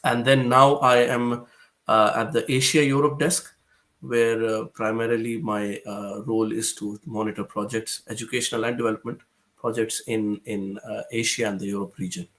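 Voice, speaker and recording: A man from Pakistan, a guest author answering interview questions hesitantly, often pausing and restarting. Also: on the low side, little pitch movement, fairly bright tone. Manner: hesitant, with frequent "uh" fillers and restarts.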